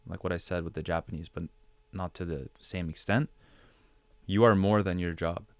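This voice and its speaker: Deep, clear-voiced man giving advice. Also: steady tone, normal speed, dry recording. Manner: rhetorical questions, repeated phrases for emphasis. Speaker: podcast guest, digital creator. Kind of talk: conversational advice on an interview podcast.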